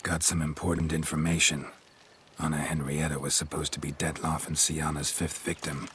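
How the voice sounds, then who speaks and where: A man playing a stoic, world-weary monster hunter in a video game, with a raspy voice and a terse, deadpan delivery. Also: very deep voice, moderate pace, big-room sound, background noise. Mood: calm, terse. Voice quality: gravelly, raspy. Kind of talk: video game cutscene acting.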